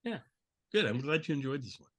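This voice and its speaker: A man's voice: mid-range voice, big pitch swings, brisk, a bit bright. A man hosting a podcast in a casual, conversational style. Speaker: podcast host.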